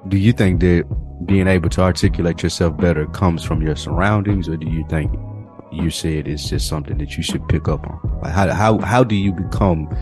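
Man, deep voice, podcast co-host, slangy casual chat, probing questions, guitar music in background. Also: fairly quick, darkish tone.

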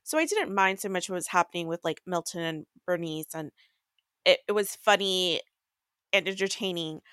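A woman's voice: fairly low pitch, expressive, moderate pace, a bit bright, smooth, no echo, studio quality. A woman co-hosting a podcast, chatting casually about books. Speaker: co-host of a book recap podcast. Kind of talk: casual book chat.

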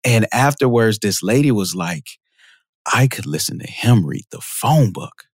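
A man guesting on a podcast, casually telling stories about his career, laughing often and jumping up in pitch for quoted reactions. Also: measured pace, no room sound, studio quality. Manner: lively storyteller, jumps high for quoted reactions, laughs often. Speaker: podcast guest, marketing automation expert. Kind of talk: casual interview storytelling.

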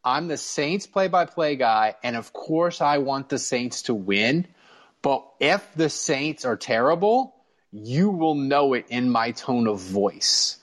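A man's voice: mid-range voice, expressive, takes it slowly. A man hosting a sports podcast in casual chat, talking in a chatty, emphatic, enthusiastic way. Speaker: podcast host.

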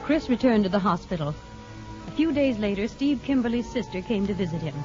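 A woman's voice: medium pitch, animated, brisk. A woman narrating a 1950s radio drama in a scripted storytelling style. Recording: muffled old radio broadcast, music behind.

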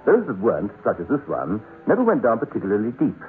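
An actor playing a curious, brisk geologist in a 1960s radio drama, with an expressive delivery. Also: male voice, on the low side, faint music behind. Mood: matter-of-fact. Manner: animated, expressive. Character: curious, brisk male geologist telling his eerie adventure. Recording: tinny band-limited old radio recording.

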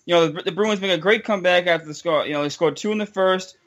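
A man's voice: high-pitched, quick pace, fairly bright tone, dull audio. A young man hosting a casual sports chat, frustrated and incredulous, repeating himself as he complains. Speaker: podcast host.

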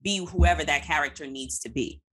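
A woman's voice: low voice, brisk, breathy edge. A woman hosting a casual interview podcast, affirming her guest and thinking aloud in long reflections.

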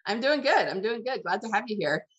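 A woman's voice: fairly high pitch, very animated, brisk, fairly bright tone, husky, lo-fi sound. A woman hosting a writing podcast, speaking as a host and coach to her audience and guests. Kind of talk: scripted introduction, then casual interview.

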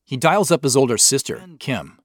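An American man hosting a scripted behind-the-scenes commentary in a measured, explanatory manner. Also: medium pitch, very animated. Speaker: show creator and host.

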